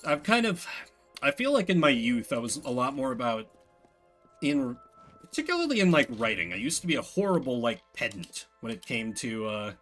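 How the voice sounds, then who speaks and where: A man's voice: medium pitch, sing-song, moderate pace, fairly bright tone, faint music behind. A man chatting casually as he streams a game, rambling through opinionated, self-deprecating asides. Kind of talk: casual chat while playing a game. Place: indoors at a desk.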